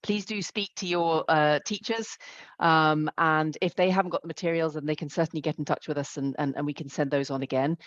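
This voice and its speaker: Moderator reading qs out, lots of ums, mid voice, dull sound. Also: medium pitch. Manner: hesitant, many fillers. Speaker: question moderator. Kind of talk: reading out audience questions. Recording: dull lo-fi audio.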